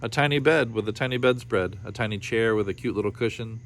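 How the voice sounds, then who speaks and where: A man reading a storybook aloud with expressive narration. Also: fairly low pitch, fast, slight background noise. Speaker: storybook reader.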